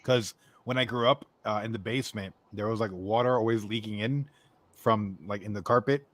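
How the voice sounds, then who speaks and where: A man chatting on a live stream, low-key and given to dry jokes. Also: steady tone, moderate pace, fairly bright tone, no echo. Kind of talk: casual chat on a live stream.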